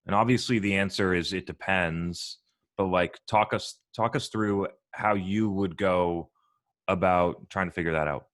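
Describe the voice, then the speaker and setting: A man hosting a casual chat, easygoing and a bit halting as he talks. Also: low-pitched, steady tone, fairly bright tone. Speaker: host.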